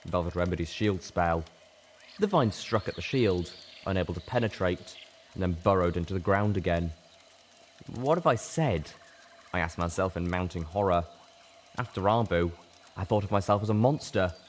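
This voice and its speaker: A man's voice: sits low, moderate pace. A man reading a story aloud as a dramatic, intense audiobook narration. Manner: intense, theatrical. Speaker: reader of a fan fiction story. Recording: clearly noisy background.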